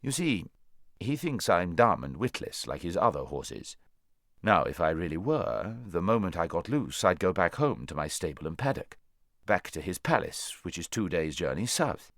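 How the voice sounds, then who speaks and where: A man's voice: low voice, dry recording, clean studio sound. A man voicing a proud, worldly talking war horse in a storybook, lecturing in a haughty, bossy way.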